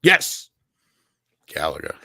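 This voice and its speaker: A man's voice: slow, bright, forceful tone, no room sound, studio quality. A man hosting a casual live stream chat, thanking viewers and passing questions to his guests. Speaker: host.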